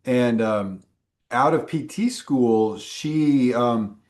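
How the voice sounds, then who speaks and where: A male instructor chatting with his class over a video call. He rambles, restarts his sentences and fills the gaps with ums. His voice sits at an average pitch. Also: very slow, a little mellow. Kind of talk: casual chat with students in a live class.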